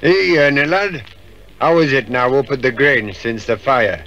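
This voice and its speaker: An actor playing a chatty, folksy Yorkshire village publican in a 1950s radio drama. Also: male voice, mid-range voice, a little slow, fairly bright tone. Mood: gossipy. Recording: dull, band-limited 1950s radio recording.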